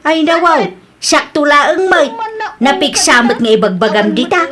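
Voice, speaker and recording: A woman's voice: high voice, expressive, a little slow, a loud crowd. A woman acting an irritated, blunt neighbour owed money, with an Ilocano Filipino accent, in an audio drama. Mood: defiant, scornful. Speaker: radio-style drama actor. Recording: noisy background ambience.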